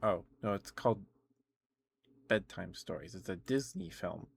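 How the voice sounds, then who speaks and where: A man streaming ASMR close to the microphone, chatting casually and sometimes whispering, in a halting, rambling way. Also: deep voice, animated, gravelly, a little hiss or hum. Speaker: ASMR livestreamer. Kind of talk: ASMR livestream, casual chat with whispered passages.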